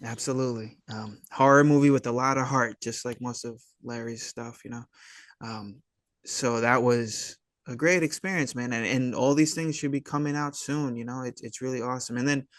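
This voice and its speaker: A man with a medium-pitched voice talks casually as an interview guest. His delivery is halting and hesitant, full of street-casual slang. The sound is dry, with no room around it. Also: fairly bright tone, dry recording. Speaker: filmmaker and actor, interview guest. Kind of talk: casual chat.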